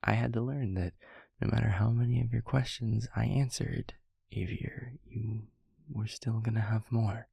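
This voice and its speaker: A gentle demon mentor played by a man confides in a low, very husky murmur. He speaks softly and haltingly, leaving long pauses, with heavy gravel in his voice. It is an intimate audio roleplay monologue, reflective and fond. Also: steady tone, no echo, heavily acted. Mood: tender, sincere.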